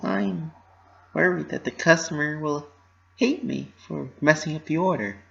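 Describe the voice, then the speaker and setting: A woman's voice: low-pitched, unhurried, creaky, highs cut off, full character voice. A woman voicing an anxious, bubbly young female pony baker who doubts herself, in an emotional cartoon-style dramatic reading. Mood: hesitant, worried. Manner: emotional, forced cheer over distress.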